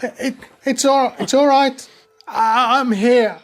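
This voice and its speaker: An actor playing a shaken but protective wartime army sergeant, stammering urgently and soothingly in a radio drama. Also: male voice, very high voice, fairly even intonation, slow pace.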